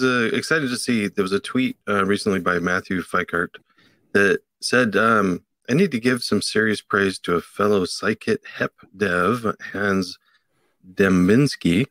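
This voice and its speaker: A middle-aged man co-hosting a podcast, explaining a tech item in a halting way with many fillers, from a home office on a video call. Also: fairly low pitch, fairly bright tone, dry recording. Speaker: podcast co-host. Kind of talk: casual tech chat.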